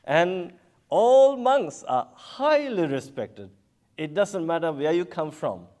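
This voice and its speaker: A man who is a Buddhist monk from Bangladesh, giving an unhurried symposium talk with frequent chuckles and hesitations. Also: fairly high pitch, very animated, darkish tone. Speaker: Buddhist monk, panel speaker.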